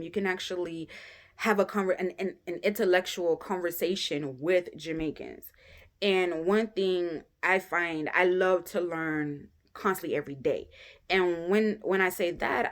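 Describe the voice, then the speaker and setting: Chatty young woman, slightly low. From United States. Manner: candid and chatty, many "um" pauses, speeds up and slows down. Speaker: vlogger. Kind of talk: casual talking-head vlog.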